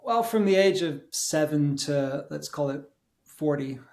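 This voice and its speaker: A man in his forties with a British accent, a conference organizer telling his story in a relaxed, chatty way on a podcast. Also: a little high, unhurried, gravelly. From England. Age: 40s. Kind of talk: podcast interview.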